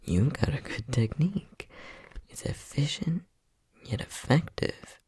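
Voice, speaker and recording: A man acting as an unhinged, playful and casually cruel scientist in an ASMR roleplay, flippant and sardonic, with a husky voice that often drops near a whisper. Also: mid-range voice, animated, slow, a little mellow, heavily acted. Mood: mock-soothing. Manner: flippant, sardonic, mock-soothing, muttering.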